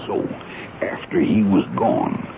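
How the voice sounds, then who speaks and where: An actor playing a folksy, dutiful American army sergeant in a radio drama, speaking in a colloquial, deferential way with a gravelly voice. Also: male voice, low voice, big pitch swings, slow, heavily acted. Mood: earnest reporting. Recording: muffled, noisy old radio broadcast.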